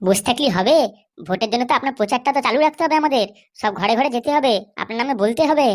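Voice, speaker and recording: A woman's voice: fairly high pitch, rapid-fire, lightly acted. A woman voicing a scheming, eager political henchwoman in a comedy cartoon, deferential yet assertive.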